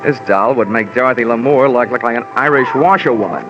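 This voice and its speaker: A man's voice: medium pitch, lively intonation, a little fast, background noise, natural voice. An American actor playing a wry, hard-boiled male insurance investigator in an old-time radio detective drama, with a sardonic, hammed-up delivery. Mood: dreamy, wry. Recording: dull vintage radio recording, music behind.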